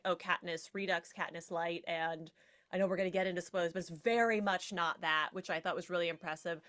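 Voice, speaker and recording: A woman's voice: lowish voice, fairly bright tone. A woman guest in a casual podcast discussion, talking in a jokey, playfully emphatic way.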